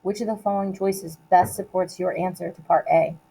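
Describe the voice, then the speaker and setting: A woman reading aloud like a teacher giving a test, in a measured, deliberate way with short, separated phrases. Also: lowish voice, steady tone, vocal fry, dark tone, poor audio. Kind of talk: read-aloud of multiple-choice questions and quoted play lines.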